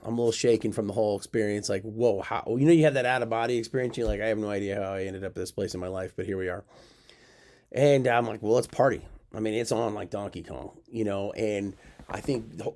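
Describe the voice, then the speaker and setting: A man's voice: medium pitch, a little mellow. Middle-aged male host, casual storytelling, self-mocking, rambling delivery with frequent fillers. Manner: rambling, self-deprecating, many fillers and asides.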